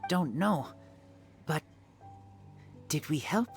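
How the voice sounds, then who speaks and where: A simple, earnest wolf-raised boy from a video game. He speaks very slowly in halting, terse fragments. Faint music plays behind him. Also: a little high, animated, heavily acted. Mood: unsure, earnest. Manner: halting, terse, broken sentences.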